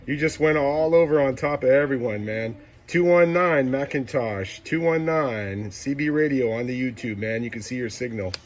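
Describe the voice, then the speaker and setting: A man's voice: medium pitch, normal speed, hardly any pauses. A man working as a CB radio operator, calling out clipped, repeated callsigns and slang. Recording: loud radio static and hiss. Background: loud radio noise.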